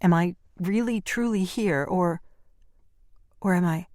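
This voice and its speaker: A man's voice: high voice, slow pace, a little mellow, no room sound, studio quality, pitched above the performer's own voice. A man voicing a frightened, confused young woman in an audiobook, speaking anxiously in a raised voice. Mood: fearful. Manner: anxious, questioning.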